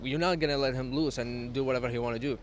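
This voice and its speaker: A man's voice: mid-range voice, steady tone, fast. Blunt Iraqi-accented man in a street interview. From Iraq. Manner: blunt, non-native grammar. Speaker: member of the public interviewed. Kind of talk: plain-spoken street interview. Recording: outdoor street noise behind.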